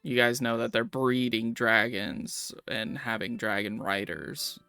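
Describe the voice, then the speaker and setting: A man's voice: mid-range voice, steady tone, a little slow, gravelly, pushed and bright, clean tone, dry recording, faint music behind. A man running a tabletop game as dungeon master, explaining the lore to his players in long run-on sentences.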